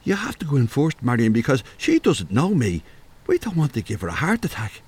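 A man's voice: mid-range voice, huge pitch swings, fairly quick, darkish tone, breathy edge. An actor playing a bossy, scoffing, know-all Irish man in a comedy audio drama. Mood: reluctant. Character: bossy, dismissive Irish man sneaking about, secretly jittery.

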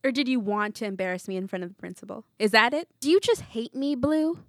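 A woman's voice: medium pitch, fairly quick, mellow, no room sound, studio quality, lightly acted. A woman voicing a cruel, sneering female teacher who berates a child in a scornful, accusatory, theatrical manner. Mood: scornful, accusing.

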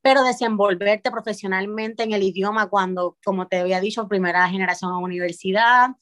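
A woman from Puerto Rico with a Puerto Rican Spanish accent, a guest on a podcast, talking in a reflective way with pauses and restarts. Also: mid-range voice, fairly even intonation, fairly bright tone, dry recording. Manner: thoughtful, with frequent pauses and restarts. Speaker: podcast guest, parent coach. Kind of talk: reflective conversational interview.

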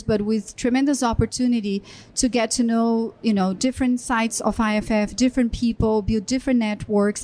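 Middle-aged Brazilian-accented woman, panel guest, halting delivery, very echoey venue. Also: mid-range voice, darkish tone, slight background noise. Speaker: panelist, general manager. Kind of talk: live panel talk. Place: live event space. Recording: echoey room sound.

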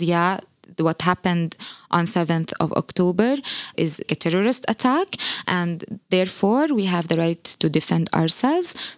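A woman from Palestine speaks forcefully in an interview, pressing her points with rhetorical questions. Her voice sits on the low side and sounds dry and close, with no room around it. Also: smooth, no echo. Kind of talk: impassioned interview answer.

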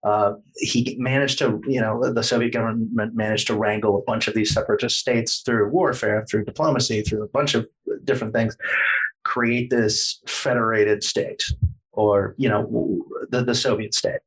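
Husky low-voiced man explaining history. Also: steady tone, moderate pace, rough recording. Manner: lecturing, hedges often, many fillers. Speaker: podcast guest explaining history. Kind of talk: casual podcast explanation.

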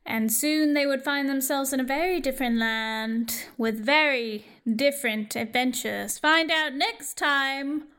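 A woman playing a measured, mock-grand narrator of a radio play, speaking deliberately. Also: sits high, a bit bright.

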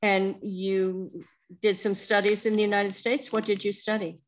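A woman's voice: mid-range voice, level tone, measured pace. A woman hosting a conversational interview, asking questions and chatting with her guest.

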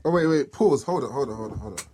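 A man's voice: mid-range voice, lively intonation, fast talker, warm tone, slight background noise. A man, a podcast co-host in casual banter, teasing and questioning his friend.

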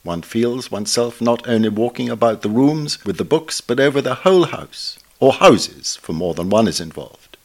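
A man reading a scripted book review aloud in a formal, measured, scholarly manner. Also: mid-range voice, expressive.

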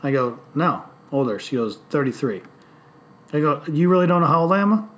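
Man, late thirties, podcast host, casual chat, cursing, animated storyteller, slightly high voice. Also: fairly quick. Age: 30s. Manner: animated, swearing freely, storytelling.

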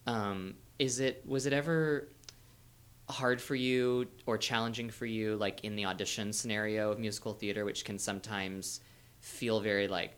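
A man hosting a dance podcast, asking rambling, hesitant questions in a relaxed chat. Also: on the low side, moderate pace, clear, resonant tone. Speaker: podcast host. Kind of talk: conversational interviewing.

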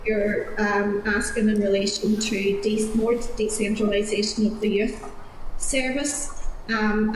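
A woman with a Northern Irish accent, deputy chairperson earnestly putting questions to a committee hearing. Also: medium pitch. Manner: earnest, repeats words while searching. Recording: echoey room with faint noise.

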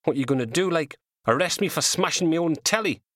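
Man acting a volatile, high-strained, defiant ex-convict. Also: expressive, a little fast, fairly bright tone, no room sound, clean studio sound. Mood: sullen defiance. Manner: indignant, defiant, colloquial northern English wording. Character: volatile, sullen convicted man of thirty-nine from Newcastle.

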